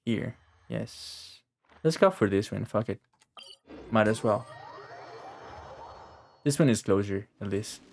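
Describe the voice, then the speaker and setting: A man's voice: lowish voice, expressive, slow, vocal fry, pauses often, a little hiss or hum. A man giving casual, offhand live game commentary, swearing and muttering to himself as he plays. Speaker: game streamer.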